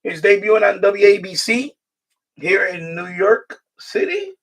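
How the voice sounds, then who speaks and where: Guy on a livestream, high creaky voice, rambles and repeats himself, mic sounds kinda far. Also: lively intonation, a little slow, a bit bright. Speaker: live stream host, radio hobbyist. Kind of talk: casual live stream chat. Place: New York City.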